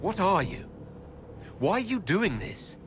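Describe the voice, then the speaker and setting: Defiant young male elf for a game cutscene: higher register, animated, challenging, over clear background noise. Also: unhurried, full character voice. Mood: urgent, defiant. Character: young male elven hero, defiant and questioning. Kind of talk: fantasy video game cutscene acting.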